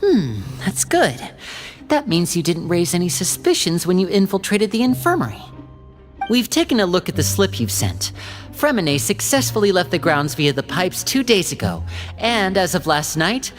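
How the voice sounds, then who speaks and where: A man voicing a young stage magician who explains a plan in a calm, measured, composed way, in a video game. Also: high voice. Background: soft game music.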